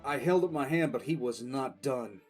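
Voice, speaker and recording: A man's voice: medium pitch, moderate pace, a little mellow, close to an everyday voice. Weary military commissar telling his story, acted by a man. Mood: grave, detached. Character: weary, cool-headed military commissar recounting his past. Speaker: narrator and lead voice actor. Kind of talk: dramatic first-person audio drama narration. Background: faint background music.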